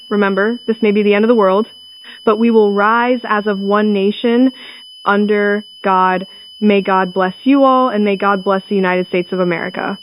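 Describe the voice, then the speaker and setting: Woman doing a solemn govt lady reading a last doomsday alert, formal n deliberate, bit of hum and noise. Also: mid-range voice, natural voice. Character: female government representative giving a solemn final doomsday broadcast. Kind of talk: scripted emergency broadcast announcement. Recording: noisy, humming radio-like recording.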